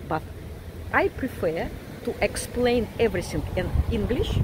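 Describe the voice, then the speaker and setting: Older woman, heavy Russian accent, lively up-and-down delivery, casual vlog into a phone by the sea, wind on the mic. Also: mid-range voice. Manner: chatty, repeats words for emphasis. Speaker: vlogger, psychiatrist. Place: outdoors by a windy beach. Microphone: phone at arm's length.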